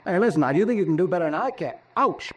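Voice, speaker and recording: Lively, higher-voiced male lab assistant. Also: very fast. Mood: excitable. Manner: casual, excitable, colloquial. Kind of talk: 1940s radio drama dialogue.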